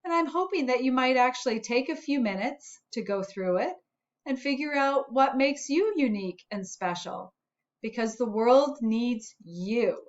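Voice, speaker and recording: A woman with a slightly high voice speaks slowly and deliberately, as a school principal would to young children at story time. Her phrasing is simple and measured. The recording is a little dull and lo-fi. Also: expressive. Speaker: school principal reading aloud to pupils. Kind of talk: children's storybook read-aloud.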